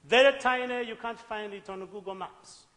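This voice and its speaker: A middle-aged Ugandan man with a Ugandan accent, giving an earnest, deliberate public talk with rising emphatic bursts. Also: very high-pitched, fairly even intonation, a bit bright. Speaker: speaker. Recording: echoey hall with long reverb.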